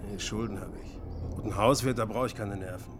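A man's voice: on the low side, a little fast, background noise, close to an everyday voice. A man playing a down-on-his-luck, stubborn rogue with a Ruhr accent, talking offhandedly in a radio drama. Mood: resigned. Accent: Ruhr area German. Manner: laconic, colloquial, offhand. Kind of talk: German radio drama acting.

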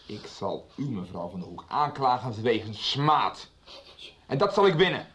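Defiant, threatening suspect; mid-pitched radio soap role. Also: male voice, sing-song, normal speed, fairly bright tone, a little hiss or hum. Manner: indignant, threatening. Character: defiant belligerent man resisting arrest. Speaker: accused neo-Nazi suspect. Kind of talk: 1990s radio soap acting.

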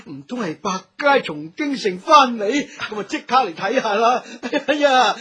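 High-pitched, excitable old Cantonese village grandfather. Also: exaggerated voice. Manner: effusive, exclaiming, repeats phrases. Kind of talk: Cantonese radio drama acting.

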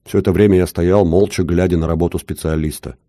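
Low, dark-voiced male narrator. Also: fairly even intonation, quick pace, no echo, clean studio sound. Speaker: audiobook narrator. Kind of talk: first-person audiobook narration.

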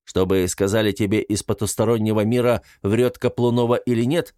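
A man's voice: on the low side, fairly even intonation, fairly quick, dark tone, no room sound, clean studio sound, natural voice. A man voicing a sceptical male major in an audiobook, sardonic and teasing.

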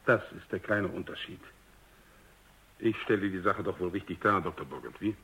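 An actor playing a self-justifying military general in a German radio drama, formal and explanatory with some bluster. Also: male voice, sits low, normal speed, pushed and bright. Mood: courteous insistence. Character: self-justifying, brusque military general, courteous to superiors' experts. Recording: slightly dull radio recording.

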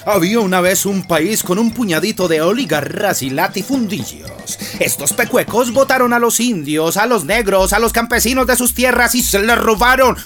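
High-pitched man, Colombian accent, mocking radio fable-teller, theatrical, sardonic, music playing behind. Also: expressive, normal speed, few pauses. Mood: mock-solemn. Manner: theatrical, sardonic, fairy-tale cadence. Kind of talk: satirical radio storytelling. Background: clear background music.

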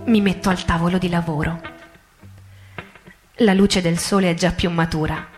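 A woman recites poetry as radio theatre, in a solemn, deliberate way with dramatic pauses. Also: fairly low pitch, steady tone, darkish tone. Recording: music under the voice. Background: music.

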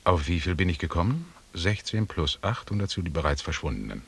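An actor playing an authoritative expedition leader in a German radio drama, speaking in a formal, precise, lecturing manner with a husky voice. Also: male voice, low voice, sing-song, a little fast, a bit bright, exaggerated voice. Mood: dry, reckoning. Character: authoritative British expedition leader, pedantic and commanding.

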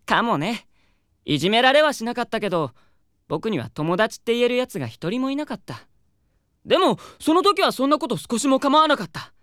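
A woman's voice: fairly high pitch, sing-song, fairly quick, a bit bright, dry recording, clean studio sound. A woman voicing a proud, haughty boy who dreams of being an idol, in a Japanese audio drama with curt boyish speech. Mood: proud, bitter. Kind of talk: Japanese anime-style audio drama acting.

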